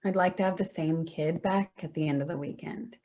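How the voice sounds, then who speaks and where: A woman's voice: on the low side, fairly quick, mellow, lightly acted. A woman playing an exasperated, sarcastic grown daughter and protective mother in a staged play reading, sounding weary and sardonic.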